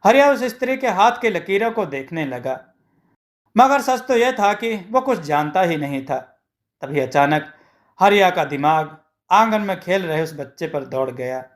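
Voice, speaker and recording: High-pitched male storyteller. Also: animated, unhurried. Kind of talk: scripted moral-story narration.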